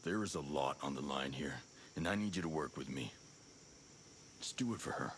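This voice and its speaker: An actor playing a calm special forces soldier in a video game, low-key, reassuring and businesslike. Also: male voice, moderate pace, slight background noise. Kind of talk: video game cutscene acting.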